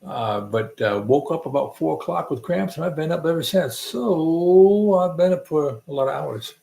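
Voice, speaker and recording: A man's voice: on the high side, lively intonation, moderate pace, creaky, mellow, reverberant. A man hosting a casual livestream, rambling and joking while he hums to himself and searches for things. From Alabama. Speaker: livestream host.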